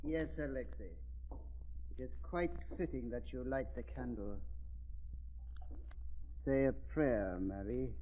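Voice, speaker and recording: An actor playing a grave, formal doctor in a slow, solemn 1930s radio drama style. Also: male voice, mid-range voice, close to an everyday voice. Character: doctor, grave stepson of a dead major. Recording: tinny, band-limited 1930s radio recording.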